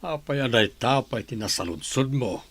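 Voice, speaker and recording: Radio-play dad, stern but caring, with a rough creak in his voice. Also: mid-range voice, expressive, moderate pace. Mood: stern, cautioning. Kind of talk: audio drama acting.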